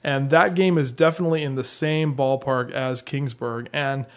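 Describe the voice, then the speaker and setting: A man with a mid-pitched voice chats casually as co-host of a board game show. He comes across as jovial and conversational, talking as he would with a friend. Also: steady tone, normal speed. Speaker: podcast co-host. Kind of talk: casual chat about board games.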